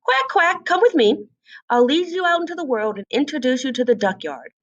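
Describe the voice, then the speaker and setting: A woman's voice: sits high, big pitch swings, a little fast, distant mic, highs cut off, voice pushed up. A woman voicing a proud, weary, bossy mother duck in a storybook reading, exclaiming and chatting as she instructs.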